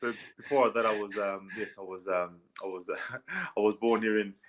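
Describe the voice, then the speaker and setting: An Australian man in his thirties, a guest on a podcast, talking casually and hesitantly with many pauses and restarts. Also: lowish voice. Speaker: podcast guest, former rugby league player. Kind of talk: casual interview chat.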